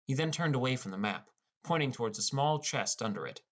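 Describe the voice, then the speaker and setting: A man's voice: mid-range voice, lively intonation, fairly quick, fairly bright tone. A man playing a wry, inexperienced teenage boy who narrates his own game adventure in an audiobook. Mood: narrating. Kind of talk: first-person audiobook narration with character dialogue.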